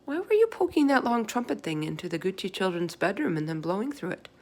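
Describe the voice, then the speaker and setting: Storybook role: plucky young orphan girl, direct and full of questions, read by a woman, slightly creaky. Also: medium pitch, very animated, fast, darkish tone. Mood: insistent. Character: small orphan girl, frank, plucky and inquisitive.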